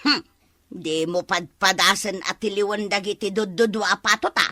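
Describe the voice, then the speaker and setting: An actress playing an excitable, nagging village woman in a Filipino radio comedy drama, with an Ilocano accent. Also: mid-range voice, normal speed, dry recording, close to an everyday voice. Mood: nagging, teasing. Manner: animated, exclaiming, nagging.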